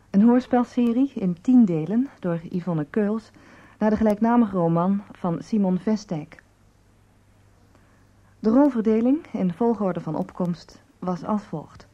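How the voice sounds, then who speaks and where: A woman radio announcer reading scripted credits in a formal, measured manner. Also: medium pitch, warm tone. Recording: dull band-limited radio recording.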